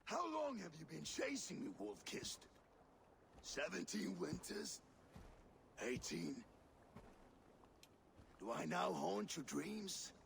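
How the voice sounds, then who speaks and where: An actor playing a cruel, mocking Viking warlord in a game cutscene, with a gravelly, taunting voice. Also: male voice, high voice, expressive, takes it slowly, long pauses, fairly bright tone, slight background noise, full character voice. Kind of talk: video game cutscene acting.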